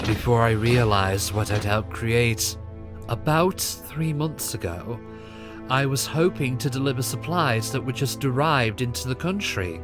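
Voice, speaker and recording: A man playing a haunted, fearful Victorian gentleman in an audio drama, speaking gravely and urgently. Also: mid-range voice, lively intonation, measured pace, lightly acted. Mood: grave, regretful. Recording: music bed under the voice.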